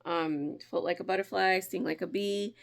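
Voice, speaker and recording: A woman's voice: fairly low pitch, fairly even intonation, normal speed, gravelly. A woman hosting a crafting vlog in casual chat, stuffy and nasal from a cold, talking from her kitchen at home. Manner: chatty, friendly, often laughing. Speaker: crafting channel host.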